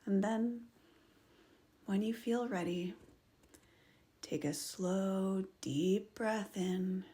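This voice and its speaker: Slow whispery meditation guide woman. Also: mid-range voice, steady tone. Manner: soft, slow, often whispered.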